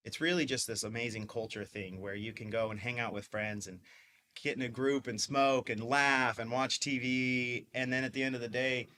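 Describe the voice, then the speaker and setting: A man's voice: mid-range voice, fairly even intonation, normal speed, bright, forceful tone. A man in his twenties, a podcast guest, talking in an upbeat, rambling way with lots of fillers. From Mississippi. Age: 20s. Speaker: guest, dispensary owner. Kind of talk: casual interview talk.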